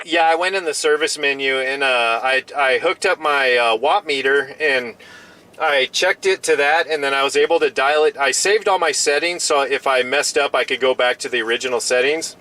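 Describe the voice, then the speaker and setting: A man's voice: medium pitch, normal speed, bright, forceful tone, poor audio. A man chatting casually as a radio hobbyist, often restarting and repeating his phrases.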